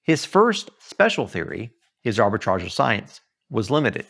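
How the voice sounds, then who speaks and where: A man reads his own writing aloud like an audiobook author. His pitch moves widely from phrase to phrase, and his delivery is earnest and emphatic, with something of the preacher in it. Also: sing-song, unhurried, vocal fry, fairly bright tone, husky. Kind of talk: expressive audiobook-style reading.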